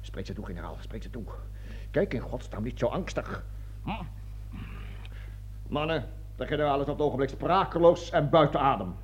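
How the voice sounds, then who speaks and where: An actor playing a pompous, opportunistic army colonel in a radio play, speechifying grandly between barked commands and hushed asides. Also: male voice, medium pitch, expressive, moderate pace, long pauses, a little hiss or hum, lightly acted. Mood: hushed coaxing. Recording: dull, lo-fi radio play recording.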